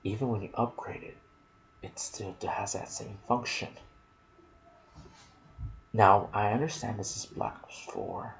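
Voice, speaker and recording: Slow, hushed, low-voiced man doing gaming ASMR. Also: fairly even intonation, gravelly, a little hiss or hum, poor audio. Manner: soft-spoken, hushed, lapsing into whispers. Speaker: video host and gaming content creator. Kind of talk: ASMR video game lore commentary.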